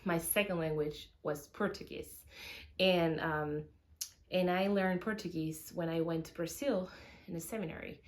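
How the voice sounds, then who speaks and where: A woman's voice: on the low side, fairly even intonation, a little slow. A young woman from Uruguay whose first language is Spanish talks to camera in English with a Uruguayan Spanish accent, hesitating with many fillers and restarts and laughing often. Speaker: cooking channel host. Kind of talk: casual talk to camera.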